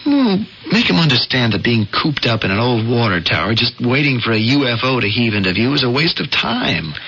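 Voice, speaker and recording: Male flustered young photographer, loyal and hesitant; very lively intonation, dull vintage radio sound. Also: medium pitch, brisk, breathy edge, exaggerated voice. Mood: earnest, defensive. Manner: hesitant, stammering, earnest. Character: earnest young newspaper photographer, loyal friend, flustered suitor. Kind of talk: 1970s radio drama acting. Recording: dull old radio broadcast, music behind.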